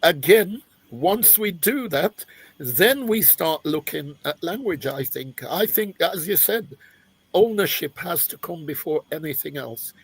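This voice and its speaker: Jamaican-born male panelist, husky voice, dry close sound, halting delivery. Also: a little high, animated, dry recording. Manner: hesitant, searching for words with restarts. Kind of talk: panel discussion.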